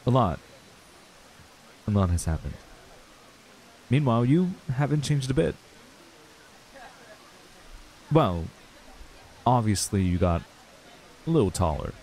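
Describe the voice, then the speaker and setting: A man's voice: mid-range voice, very animated, a little slow, pauses often, a little mellow, poor audio. A man playing a laid-back, wistful young man in an ASMR roleplay, talking casually and hesitantly to a childhood friend. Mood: amused, warm. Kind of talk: ASMR roleplay acting, intimate and conversational.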